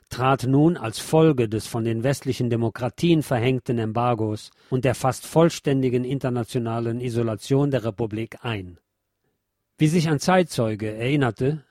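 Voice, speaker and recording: A man reading scripted historical documentary narration in a formal, measured manner. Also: mid-range voice, a little mellow, dry recording, clean studio sound. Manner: formal, measured read delivery.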